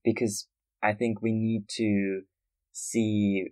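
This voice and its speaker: A man with a low voice hosts a podcast conversation. He is from Austria and speaks earnestly, feeling his way through his thoughts, recorded close and dry. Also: little pitch movement, slow, warm tone, no echo. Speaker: podcast host.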